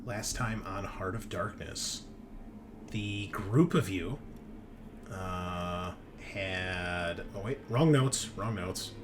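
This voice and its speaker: A man with a fairly deep voice runs a tabletop game stream. He talks in a laid-back, rambling and casually profane way, like chatting with friends. Clear background noise sits behind his home microphone. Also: extremely slow. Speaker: game master and host.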